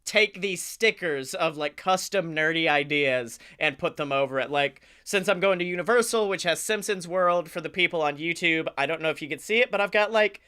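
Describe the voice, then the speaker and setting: A man chatting casually as a comedy podcast co-host, enthusiastic, talkative and quick to swear. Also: fairly high pitch, bright, forceful tone, smooth.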